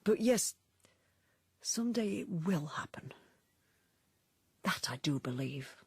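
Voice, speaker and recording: A woman acting in a radio drama as a weary, aged, faithful farm animal, speaking earnestly and haltingly in a husky voice. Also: on the low side, animated, darkish tone, no room sound, exaggerated voice. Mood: hushed hope. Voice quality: husky, creaky, breathy. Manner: earnest, halting, fading to whispers.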